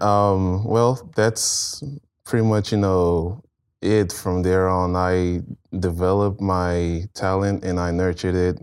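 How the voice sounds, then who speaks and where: A man's voice: deep voice, a little mellow, dry recording, clean studio sound. A man, speaking hesitantly and reflectively as an interview guest. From Nigeria. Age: 20s. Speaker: guest, art student. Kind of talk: conversational interview.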